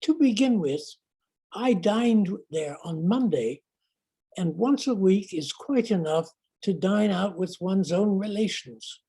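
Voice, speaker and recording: A woman playing a languid, witty young Victorian gentleman in a stage comedy, measured and haughty. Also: mid-range voice, expressive, slightly rough, full character voice, low-quality recording. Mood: disdainful.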